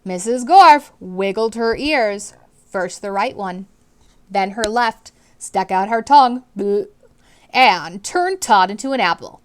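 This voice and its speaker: Casting: female teacher for children's read-aloud, lively pitch movement, dramatic emphasis, speaks directly to young listeners. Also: takes it slowly, clean tone. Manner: animated, dramatic emphasis on key words.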